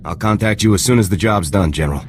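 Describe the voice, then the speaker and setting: Actor as a deep, brisk military lieutenant. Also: male voice, heavy background noise, natural voice. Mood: confident. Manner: clipped, assured, soldierly. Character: dutiful, confident young male army lieutenant hero. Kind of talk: video game cutscene acting.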